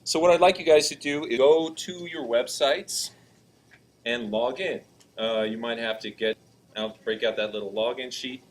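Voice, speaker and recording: A man teaching a class, talking casually in a loud, projecting voice and often checking in with students while he walks around the classroom. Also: mid-range voice. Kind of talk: classroom lesson, walking around.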